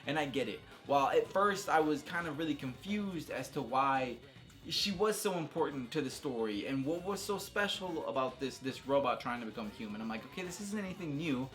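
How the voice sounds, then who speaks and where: A man's voice: medium pitch, lively intonation, brisk, fairly bright tone, soft background music. A man giving casual, unscripted video game commentary as a host, talking enthusiastically with slang and emphatic exclamations.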